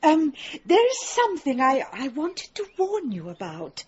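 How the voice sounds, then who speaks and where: A woman's voice: high voice, wildly swooping pitch, measured pace, dull audio, exaggerated voice. An actress playing a solicitous, gossipy village woman in a radio drama, hesitant and fluttery. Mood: hesitant, confiding.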